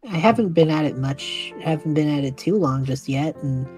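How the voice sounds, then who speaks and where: A woman's voice: deep voice, dark tone, natural voice. A woman playing an earnest, awkward young male magic student, speaking hesitantly in an improvised audio drama. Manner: hesitant, halting. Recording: faint music behind the voice.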